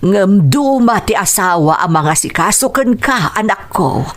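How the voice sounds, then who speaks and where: A woman's voice: mid-range voice, animated, measured pace, close to an everyday voice. A woman acting in an Ilocano radio drama as a reproachful but caring mother of a grown son, with an Ilocano accent and music underneath.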